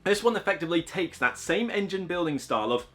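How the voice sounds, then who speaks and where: Man, slightly high voice, board game reviewer, enthusiastic, rambling, casual. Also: lively intonation, fast talker, a bit bright, soft background music. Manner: enthusiastic, rambling with fillers and asides.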